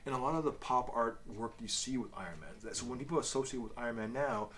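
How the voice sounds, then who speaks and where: A man's voice: medium pitch, fast talker, fairly bright tone, a little hiss or hum. A man co-hosting a casual review chat, explaining things in a loosely worded way with asides. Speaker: co-host of a comic review show.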